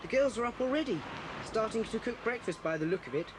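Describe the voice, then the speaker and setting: A woman's voice: fairly high pitch, animated, fast, natural voice. A woman playing a practical, forthright British schoolboy in a 1980s children's adventure audio drama. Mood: matter-of-fact. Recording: hissy 1980s cassette recording.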